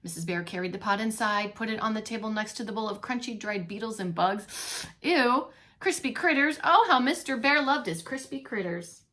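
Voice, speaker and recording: Woman, bright and highish voice, preschool storytime reader, expressive, chats to young listeners. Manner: expressive, pausing to comment and question the listeners. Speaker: preschool teacher reading a storybook aloud. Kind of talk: animated read-along storytelling for young children.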